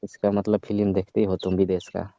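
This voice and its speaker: A man's voice: sits low, flat delivery, brisk, creaky, dark tone, no echo. A man playing a young cousin on a casual phone call, questioning in an insistent, teasing way. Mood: probing. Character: young male cousin, probing, teasing, half-reluctant. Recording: dull lo-fi call-style audio.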